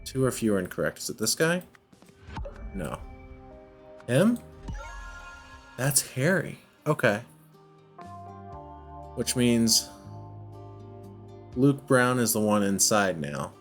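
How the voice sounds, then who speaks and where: A man's voice: mid-range voice, very animated, slow, pauses often. A man giving casual let's play commentary, thinking aloud and often questioning himself as he goes. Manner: musing, self-questioning. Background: background music.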